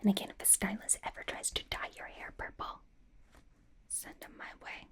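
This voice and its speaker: A woman playing a bubbly, fawning young female K-pop idol stylist in a soft, breathy ASMR roleplay. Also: lightly acted. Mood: gentle, teasing. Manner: gushing, casual, slow, with repeated soft reassurances. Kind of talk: whispered ASMR roleplay, personal attention.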